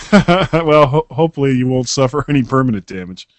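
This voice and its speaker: A man's voice: mid-range voice, expressive, normal speed, no room sound. A podcast co-host talks in an easygoing, joking way, often hesitating and restarting his sentences. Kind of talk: casual tech podcast chat.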